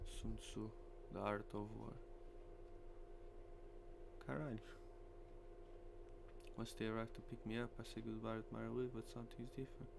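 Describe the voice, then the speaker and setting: Gravelly man reading aloud. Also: fairly low pitch, level tone, moderate pace, long pauses. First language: Portuguese. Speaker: streamer. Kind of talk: reading a visual novel aloud. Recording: clearly noisy stream with hum.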